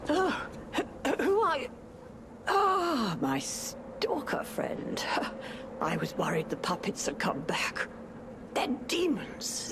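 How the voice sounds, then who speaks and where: Game role: elderly female tippler, gravelly and wryly grumbling, swinging widely in pitch, over background noise. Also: heavily acted. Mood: startled, wary, then bitter grumbling. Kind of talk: video game character acting. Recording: clear noise and ambience behind.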